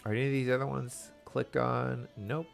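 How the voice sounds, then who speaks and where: A man's voice: medium pitch, fairly even intonation, moderate pace, creaky, soft background music. Informal enthusiastic man streaming a game. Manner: enthusiastic, informal slang, addresses viewers. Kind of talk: casual game stream chat.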